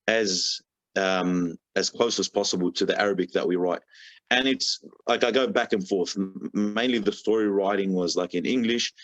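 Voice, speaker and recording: A man's voice: low voice, no echo. A man speaking as a podcast guest in a casual, rambling way, filling his talk with "you know" and "sort of" and restarting his sentences often. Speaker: podcast guest, religious reciter. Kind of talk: casual conversational interview. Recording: dull, lo-fi, dry podcast audio.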